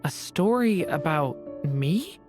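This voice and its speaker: A woman voicing a gentle, earnest dragonborn youth in a video game, soft-spoken, hesitant and wistful. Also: low-pitched, expressive, slow pace, music in the background. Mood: thoughtful.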